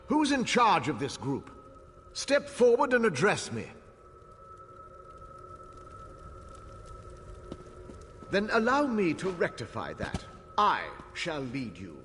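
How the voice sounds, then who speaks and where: A man playing an ancient elf war leader in a video game, imperious and proud, speaking in a formal, commanding, deliberate way. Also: high-pitched, wildly swooping pitch, fairly bright tone, full character voice. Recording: long hall reverb, music behind.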